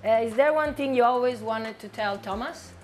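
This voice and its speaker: A woman's voice: a little high, normal speed, a little mellow, soft background music. A woman interviewer asking brief, direct prepared questions.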